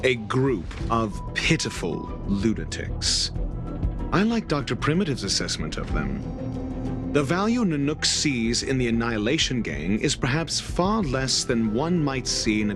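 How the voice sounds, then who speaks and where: An actor playing an erudite, haughty, fault-finding scholar in a video game, speaking with measured scorn and dramatic pauses. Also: male voice, medium pitch. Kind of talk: scripted video game dialogue.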